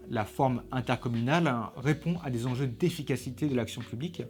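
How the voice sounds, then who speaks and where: A man's voice: mid-range voice, darkish tone. A man reads scripted educational narration in a didactic, structured way of explaining. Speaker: presenter of an explainer video. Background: background music.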